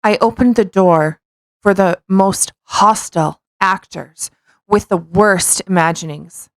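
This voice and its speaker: Woman, average pitch, dry room-free sound, casual podcast co-host, sarcastic, blunt, teasing. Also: takes it slowly, dry recording. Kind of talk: casual chat, mocking dramatic reading and commentary.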